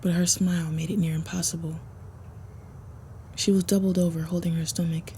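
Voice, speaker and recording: Woman, soft measured storyteller, dark mellow tone, flat intonation, intimate roleplay narration. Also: on the low side, slight background noise. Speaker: roleplay audio voice performer.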